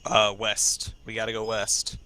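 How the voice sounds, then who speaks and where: A man with a low, fairly monotone voice and a sharp, forceful edge. He plays a role-play game, casually deciding plans with the other players. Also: measured pace. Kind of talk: casual tactical discussion in a game.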